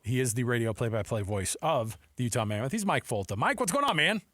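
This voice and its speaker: A man with an American accent hosts sports talk radio in a dry, close studio sound. He talks casually and excitably, rambling and doubling back on his phrases at an ordinary male pitch. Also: fast talker, dry recording. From Seattle, United States.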